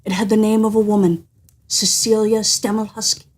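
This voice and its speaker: A woman's voice: mid-range voice, little pitch movement, darkish tone. Theatrical woman storyteller. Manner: theatrical, suspenseful, deliberate. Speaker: storyteller and host. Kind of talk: animated scripted storytelling.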